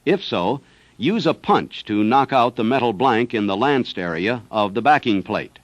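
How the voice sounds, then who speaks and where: A man's voice: mid-range voice, expressive, moderate pace, dry recording. An American man reads a scripted instructional narration for a service training film in a methodical manner. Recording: dull, dry 1970s training film soundtrack.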